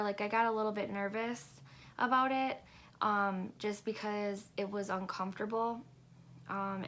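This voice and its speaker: A woman's voice: medium pitch, a bit bright, slight background noise. A woman vlogger giving a casual update, congested and rambling with lots of hesitant ums. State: congested, stuffy nose. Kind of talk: casual pregnancy update vlog.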